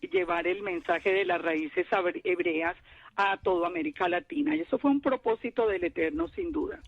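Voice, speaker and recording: A woman's voice: mid-range voice, big pitch swings, moderate pace, vocal fry. Woman in her sixties, dull line. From Colombia. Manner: earnest, with frequent restarts. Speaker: guest, Torah teacher. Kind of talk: interview answers, testimony.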